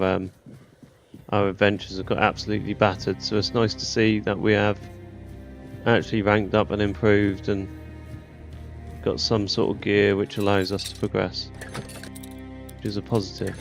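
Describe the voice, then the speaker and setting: A man's voice: fairly low pitch, little pitch movement, moderate pace, soft background music. A man giving casual, colloquial gameplay commentary, thinking aloud as he goes. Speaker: gaming YouTuber.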